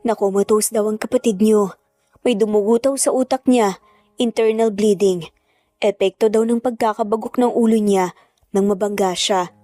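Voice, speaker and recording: A woman's voice: mid-range voice, fairly even intonation, moderate pace, dark tone, no echo, soft background music, close to an everyday voice. A woman acting as a proud, hurt mother in a narrated family drama, emotional and bitter. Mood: distressed. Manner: tearful, bitter, defiant.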